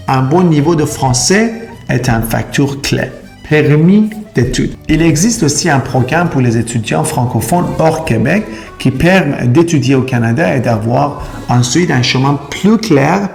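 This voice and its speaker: Gravelly-voiced man. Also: medium pitch, darkish tone. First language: Persian and Turkish. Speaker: immigration consultant. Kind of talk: explainer video to camera.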